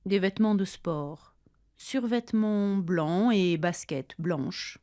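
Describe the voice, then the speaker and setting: A woman reading her part of a scripted dialogue for language learners, speaking carefully and deliberately, each line said twice. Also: lowish voice, warm tone, dry recording, clean studio sound. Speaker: language-lesson dialogue reader.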